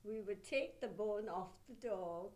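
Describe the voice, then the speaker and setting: Older woman, Anglo-Burmese accent, author; unhurried, reflective, pausing. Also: mid-range voice, a little mellow. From Burma. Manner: unhurried, reflective storytelling with pauses. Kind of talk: interview answers. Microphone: table mic on a stand.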